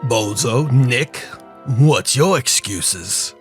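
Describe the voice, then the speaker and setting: A man's voice: mid-range voice, animated, faint music behind. Menacing crime boss with swooping intonation man acting. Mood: contemptuous. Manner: formal, deliberate, coldly threatening. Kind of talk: 1940s noir radio drama acting.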